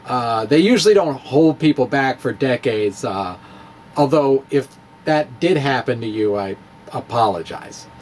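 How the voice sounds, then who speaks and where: A man giving a playful, self-mocking demonstration of math with clay and pipe cleaners. He often pauses and restarts while he works with his hands. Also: medium pitch, expressive, darkish tone, a little hiss or hum. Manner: wry, self-mocking, chuckles at own jokes. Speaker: physics blogger demonstrating math.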